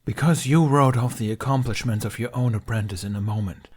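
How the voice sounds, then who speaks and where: A man's voice: mid-range voice, brisk, slightly rough, lightly acted. A man voicing a furious, grieving middle-aged knight who speaks in a heated, accusing, raised voice in an audiobook reading. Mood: bitter.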